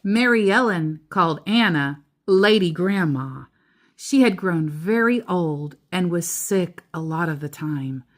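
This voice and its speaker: A woman reading a children's book aloud as a storyteller, deliberately, pausing between short phrases and adding casual asides. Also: fairly low pitch, expressive.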